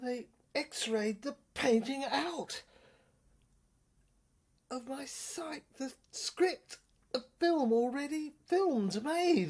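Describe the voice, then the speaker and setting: A woman's voice: high voice, a little mellow. A woman giving a dramatic reading of an experimental audio screenplay in short, broken, theatrical phrases.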